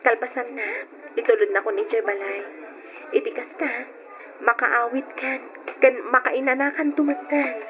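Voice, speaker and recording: A woman's voice: on the high side, steady tone, moderate pace, long pauses, over music, natural voice. A young woman with an Ilocano accent playing an affectionate, questioning girlfriend in an old radio drama. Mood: concerned. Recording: noisy, dull lo-fi radio recording.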